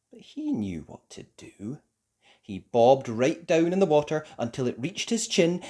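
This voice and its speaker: Slow, very animated children's story reader. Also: mid-range voice. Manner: sing-song, deliberate, addressing young children. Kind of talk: expressive scripted storybook narration.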